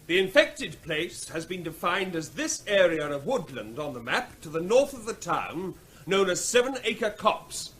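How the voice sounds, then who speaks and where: A man's voice: sits high, sing-song, unhurried, a bit bright, a little hiss or hum, heavily acted. An actor playing a firm male veterinary officer briefing a restless public meeting, raising his voice over hecklers in a vintage radio drama.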